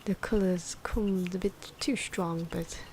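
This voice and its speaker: A soft-spoken woman giving ASMR drawing commentary, often slipping into a breathy whisper, with hesitant, unhurried phrasing. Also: on the low side, fairly even intonation. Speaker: ASMR video creator narrating own drawing. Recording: noisy, pencil scratching and ambient sound. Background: pencil and pen noises, outdoor wind.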